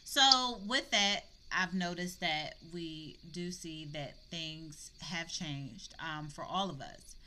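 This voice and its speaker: Low bright-voiced woman hosting casual chat. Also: slow pace, hardly any pauses, a little hiss or hum. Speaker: podcast host leading the discussion.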